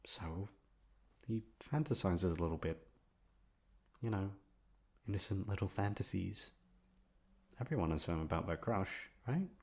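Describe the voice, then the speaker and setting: Man acting a low-voiced obsessive lovesick young man. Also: lively intonation. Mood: wistful, coy. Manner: soft-spoken coaxing, drawn-out words, emphatic repetition. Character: obsessive lovesick young man confessing to his best friend. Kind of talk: intimate ASMR roleplay storytelling.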